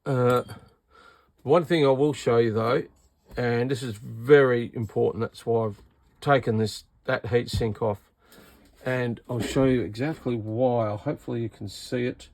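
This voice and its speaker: A man's voice: medium pitch, fairly even intonation, measured pace, creaky, darkish tone. An Australian man giving a casual, hands-on radio repair demonstration, talking informally with an incredulous, exasperated manner. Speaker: radio repair vlogger and seller.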